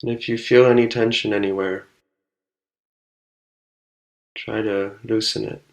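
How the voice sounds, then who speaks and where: A man leading a guided meditation, speaking calmly and unhurriedly with long pauses between short instructions. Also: fairly low pitch, vocal fry.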